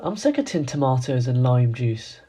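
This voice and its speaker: A woman's voice: a bass voice, very animated, normal speed, warm tone, slightly rough, full character voice. A woman playing a defiant, insolent male crewman in a radio play. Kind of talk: student radio play acting.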